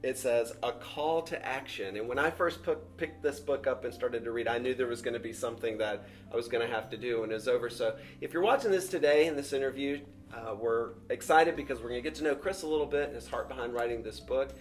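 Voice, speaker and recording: A man's voice: medium pitch, fast, few pauses. A man interviewing an author, friendly, introducing the book and asking questions with some stumbles and repeated words.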